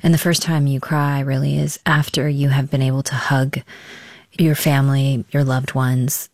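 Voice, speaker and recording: A woman conducting a broadcast interview, asking measured, probing questions. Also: a bass voice, flat delivery, darkish tone, dry recording, clean studio sound.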